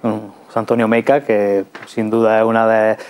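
A man's voice: lowish voice, flat delivery, moderate pace, darkish tone, smooth. A middle-aged man with an accent from Spain hosting a studio interview in a conversational, hesitant way, often checking with tag questions. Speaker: TV culture show host. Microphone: lapel mic.

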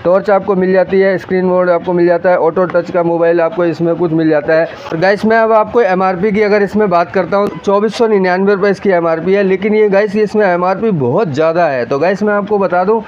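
A man's voice: high-pitched, steady tone, fairly quick, hardly any pauses, a little mellow. A man in his thirties casually presenting a product to viewers, explaining it in a repetitive, salesman-like way. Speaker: host of a phone unboxing channel. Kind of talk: casual product demonstration to camera. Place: small mobile phone shop, indoors. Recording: muffled lo-fi audio with background noise.

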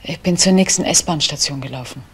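A husky older woman in a radio crime drama. She confesses haltingly, subdued and distressed, with a rough edge to her voice. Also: sits low, a bit bright, full character voice. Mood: resigned.

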